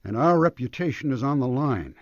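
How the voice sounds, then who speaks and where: An actor playing an anxious, earnest and formal retirement home director in a radio drama. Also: male voice, mid-range voice, animated, fairly quick, dry recording, close to an everyday voice. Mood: earnest, worried. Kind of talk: radio detective drama acting. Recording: dull lo-fi radio broadcast.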